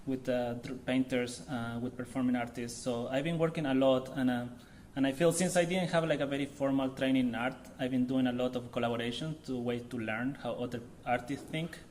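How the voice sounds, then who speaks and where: A man in his thirties with a Chilean accent speaks at a mid-range pitch, talking loosely and haltingly as he searches for his words. A faint hiss sits under his voice in a lecture room. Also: fairly even intonation, darkish tone. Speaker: visiting artist. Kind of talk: public artist talk.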